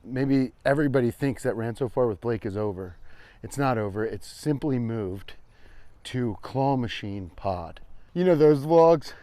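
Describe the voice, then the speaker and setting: A man's voice: mid-range voice, animated, a little slow, mellow, dry recording. An American man chatting casually as he walks, riffing playfully in a loose, repetitive, sweary comedic ramble. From Cincinnati, US. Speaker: vlogger and podcast host. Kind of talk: casual rambling comedic chat while walking.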